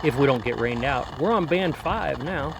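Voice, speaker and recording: A man's voice: mid-range voice, animated, moderate pace. A man giving a casual, offhand product review outdoors, chatting with folksy exclamations as he demonstrates. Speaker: radio reviewer, vlogger. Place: outdoors in light rain. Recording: clearly noisy outdoor recording.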